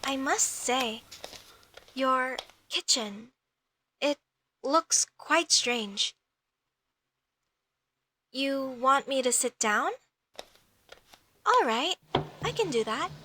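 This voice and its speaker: A woman playing a curious, outspoken young female elf mage in a comedic audio roleplay, questioning things in a halting, slightly haughty way. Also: high voice, expressive, bright, forceful tone, slight background noise, heavily acted. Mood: hesitant, polite.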